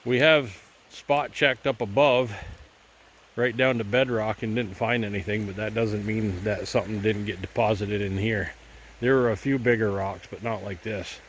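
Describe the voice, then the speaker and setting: A man's voice: fairly low pitch, fairly even intonation, moderate pace, slight background noise. A man with a head cold talking casually and rambling a little as he vlogs outdoors by a cold stream. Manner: easygoing, rambling, thinking aloud. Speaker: gold prospecting vlogger. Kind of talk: casual outdoor vlog narration.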